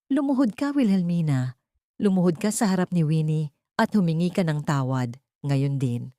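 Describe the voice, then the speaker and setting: A stern older patriarch in an audiobook drama, voiced by a woman, icy and commanding. The delivery swings widely in pitch, with a dry sound. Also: expressive, moderate pace, dark tone, no room sound.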